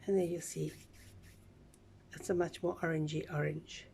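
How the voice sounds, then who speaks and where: A woman with a slightly croaky voice, giving a chatty, relaxed step-by-step craft tutorial with small asides. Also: deep voice, moderate pace, a little hiss or hum. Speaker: craft instructor.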